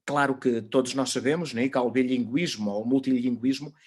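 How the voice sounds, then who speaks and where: A man's voice: medium pitch, fairly quick, husky. Man speaking casually in a video-call talk. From Miranda do Douro, northeast Portugal. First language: Mirandese. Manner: relaxed, loose, frequent hesitations and restarts. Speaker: teacher giving a conference talk. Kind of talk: informal lecture.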